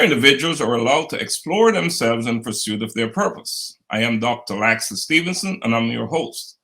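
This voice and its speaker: A man hosting an interview show, speaking in a formal, polished, measured way. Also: mid-range voice, gravelly, bright, forceful tone, low-quality recording. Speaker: host. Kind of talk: scripted interview introduction.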